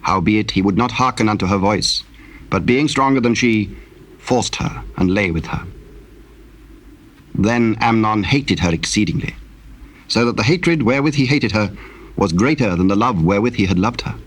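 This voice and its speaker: A man narrating a dramatized Bible, telling the story solemnly in measured, archaic English. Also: on the low side, animated, low-quality recording.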